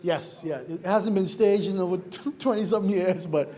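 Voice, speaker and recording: Soft-spoken hesitant man, fairly high voice. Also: normal speed. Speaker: playwright in the audience. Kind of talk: audience comment at a panel. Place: university lecture hall.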